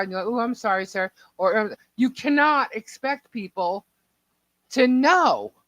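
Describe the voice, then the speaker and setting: Deep-voiced woman, emphatic podcast chatter. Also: fairly bright tone, dry recording, low-quality recording. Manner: emphatic, talks at length, repeats herself. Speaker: podcast co-host. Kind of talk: casual opinionated chat.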